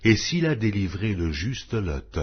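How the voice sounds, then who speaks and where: A deep, husky male voice reads scripture in a measured, solemn way. His pitch moves widely, lifting and dropping through each phrase. The sound is dull and lo-fi. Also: very animated. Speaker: Bible reader.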